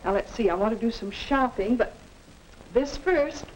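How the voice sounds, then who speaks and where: A woman acts as a dutiful, busy American housewife in a 1950 classroom film. Her voice sits fairly high, with stagey delivery as though talking to herself. There is light noise on the old soundtrack. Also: expressive, moderate pace, close to an everyday voice, low-quality recording. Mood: brisk, busy. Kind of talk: 1950 educational film acting.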